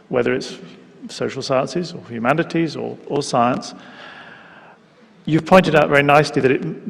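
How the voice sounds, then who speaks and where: A man's voice: fairly high pitch, vocal fry. A man, a native English speaker, moderating a live panel discussion in a courteous, discursive way. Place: live event in a hall with audience. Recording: long hall reverb.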